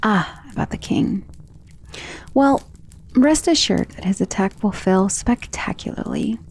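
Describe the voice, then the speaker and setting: A woman playing a powerful, self-assured female vampire, protective and possessive of a lover, in an intimate ASMR romance roleplay that often drops toward a breathy whisper. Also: medium pitch, lively intonation, a little slow, a little mellow, full character voice. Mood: confident, amused.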